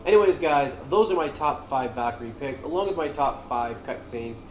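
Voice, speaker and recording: A man's voice: medium pitch, lively intonation, moderate pace, highs cut off. A man giving casual, slangy and enthusiastic commentary as a gaming video creator. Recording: roomy echo under loud music. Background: loud game music.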